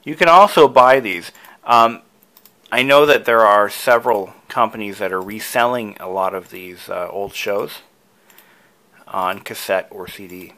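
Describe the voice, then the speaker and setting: A man's voice: fairly low pitch, measured pace, bright, forceful tone. A man presenting a hobby tutorial, casually talking through each step with enthusiasm.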